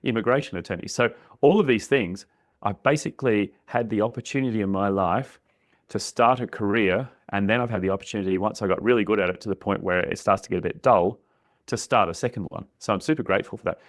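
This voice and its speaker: Man, very deep voice, relaxed, plain-spoken, interview guest. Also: quick pace, no room sound, clean studio sound. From Queensland, Australia. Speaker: podcast guest. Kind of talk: casual interview answers.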